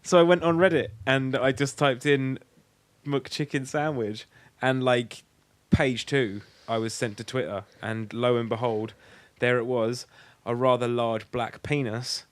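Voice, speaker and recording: A man's voice: mid-range voice, steady tone, a little slow, no echo. A man co-hosting a podcast in casual, sweary banter, with a crude joking way of talking. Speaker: podcast co-host.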